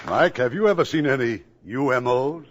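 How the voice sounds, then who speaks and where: A man's voice: medium pitch, expressive, moderate pace, over a noisy crowd, heavy background noise. A man hosting a 1950s radio drama show, speaking in a genial, polished announcer's manner. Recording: old radio broadcast with faint music.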